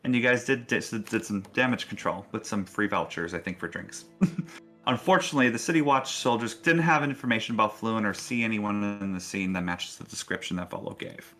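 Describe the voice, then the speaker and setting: A man with a bright, forward voice at an ordinary male pitch hosts a tabletop game show. He talks casually and encouragingly as game master, with faint music behind him. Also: a little fast. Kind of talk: casual hosting and story recap of a tabletop game.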